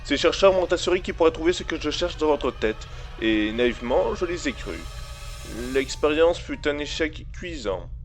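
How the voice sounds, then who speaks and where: An actor playing a cold, scheming male villain who blackmails with smooth, taunting, deliberate speech in an audio drama. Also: fairly high pitch, animated, soft background music, natural voice.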